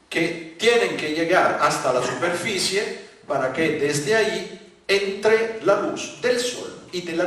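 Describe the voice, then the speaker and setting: A man from Italy with a light Italian accent, giving a public lecture with slides, talking haltingly with many fillers and restarts. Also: a little high, fairly bright tone. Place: large conference hall. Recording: very echoey hall, voice from far off.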